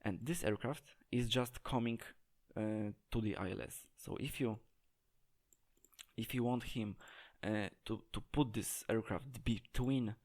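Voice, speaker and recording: A man giving an unscripted gameplay tutorial, talking in a hesitant, halting way as he searches for words. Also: on the low side, darkish tone. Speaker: game tutorial presenter.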